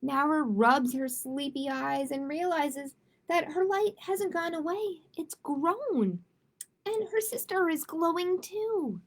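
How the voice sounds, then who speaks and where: A woman reads picture books aloud for a children's storytime in a fairly high voice with a noticeably creaky edge. Her delivery is expressive and simple. She slips into chatty explanations and checks in with her young listeners as she goes. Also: takes it slowly. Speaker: library storytime host.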